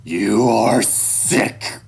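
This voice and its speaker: A man's voice: deep voice, normal speed, vocal fry, husky, full character voice. A man voicing a stern, scornful animal father in an audio story, harsh, commanding and accusatory. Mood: disgusted, hateful.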